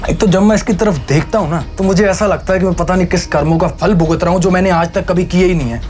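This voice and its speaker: A man's voice: sits high, fairly even intonation, quick pace, darkish tone, lightly acted. A man playing an arrogant young husband in an audio drama, speaking with taunting contempt over music. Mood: bitter scorn. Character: arrogant, scornful young husband rejecting his bride. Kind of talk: Hindi audio drama acting.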